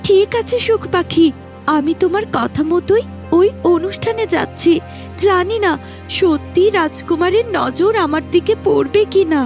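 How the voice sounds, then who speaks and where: A woman voicing a gentle, mistreated young village stepdaughter in a cartoon, earnest and plaintive. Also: extremely high pitch, normal speed, soft background music. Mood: hopeful. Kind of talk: cartoon voice acting.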